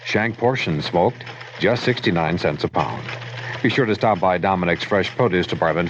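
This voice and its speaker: A man with a low voice reads a scripted retail commercial as a station announcer. The old radio recording is dull, with faint noise. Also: fairly bright tone. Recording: muffled, noisy old radio broadcast.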